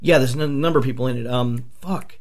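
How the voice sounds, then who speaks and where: A man on a podcast, hoarse from a flu, talking excitedly and swearing often as he raves about movies. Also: mid-range voice, fast talker. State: a few bourbons in. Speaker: podcast host. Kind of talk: casual movie talk.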